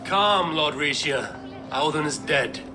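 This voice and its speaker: Steadfast Viking warrior, music behind. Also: male voice, on the high side, slow. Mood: calm, measured. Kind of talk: dramatic game cutscene acting.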